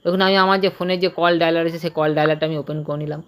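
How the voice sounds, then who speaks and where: A man's voice: fairly high pitch, steady tone, very fast, fairly bright tone, clear, resonant tone. A man with an Indian Bengali accent giving an informal, unscripted tutorial, repeating himself and stumbling over words as he checks that listeners follow. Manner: repetitive, stumbling, with frequent tag questions. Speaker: tech tutorial presenter.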